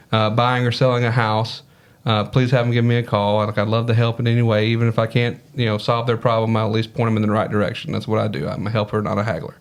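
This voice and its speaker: A man's voice: lowish voice, clean tone. A man with a Southern US accent, a podcast guest talking in an easygoing, rambling way. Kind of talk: casual podcast conversation.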